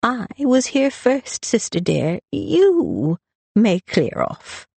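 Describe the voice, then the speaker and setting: Woman acting a slurring, mocking young gentleman drunk. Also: mid-range voice, very animated, slow pace, slightly rough, no echo, pitched above the performer's own voice, heavily acted. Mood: sulky drawling. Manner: slurred, drawling, taunting. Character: mocking, drunken young aristocratic brother, sulky. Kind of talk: dramatised audiobook character voice.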